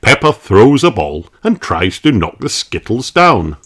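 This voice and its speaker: A storyteller reading a children's picture book aloud in an expressive, animated way. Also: mid-range voice, unhurried. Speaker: audiobook reader.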